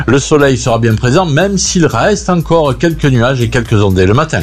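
A man giving the radio weather report in a relaxed, chatty way, with small fillers. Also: mid-range voice, normal speed, soft background music. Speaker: weather presenter.

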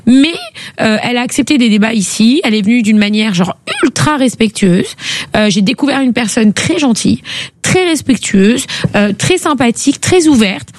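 A woman's voice: fairly high pitch, animated, normal speed, dry recording. A woman in her forties hosting a radio talk show, chatting casually and often hesitating and restarting. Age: 40s. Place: radio studio. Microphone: studio microphone.

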